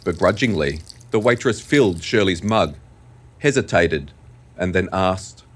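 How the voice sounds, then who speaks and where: Guy reading a dramatic story, kinda deep, deliberate pacing, music behind him. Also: lively intonation. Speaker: narrator. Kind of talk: scripted dramatic story narration.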